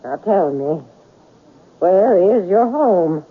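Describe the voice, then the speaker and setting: An actress in a 1970s radio drama playing a blunt but kindly shopkeeper with a husky voice and an insistent, questioning manner. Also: female voice, lowish voice, expressive, takes it slowly, exaggerated voice. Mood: blunt, urging. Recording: tinny 1970s radio broadcast.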